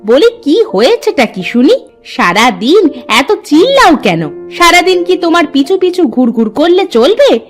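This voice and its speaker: A woman voicing a farmer's sharp-tongued wife in a cartoon folk tale, with a dramatic delivery. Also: extremely high pitch, big pitch swings, measured pace, faint music behind, full character voice. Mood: nagging. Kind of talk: cartoon story character acting.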